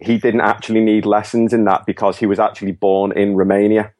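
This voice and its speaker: A man's voice: on the low side, flat delivery, a little fast, a little mellow. A man co-hosting a podcast, sharing trivia in a matter-of-fact way. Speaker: podcast co-host. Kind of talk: casual podcast chat.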